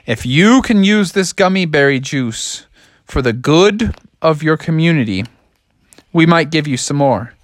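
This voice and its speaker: A man's voice: a little high, lively intonation, unhurried, no room sound, clean studio sound. A man voicing a kindly, solemn gummy bear elder in a children's story, speaking in earnest pronouncements.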